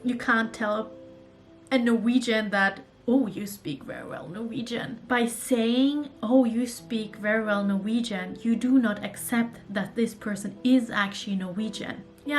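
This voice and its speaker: A woman from Germany with a German accent, talking earnestly and thoughtfully to camera about books in a casual vlog. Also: a little high. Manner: earnest, thoughtful, with small restarts. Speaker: book vlogger.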